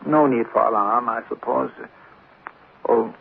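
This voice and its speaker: A man's voice: mid-range voice, fairly even intonation, a little slow, gravelly. An actor playing a polite, rambling, apologetic male neighbor in 1940s radio drama style. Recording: tinny, faintly noisy 1940s radio recording.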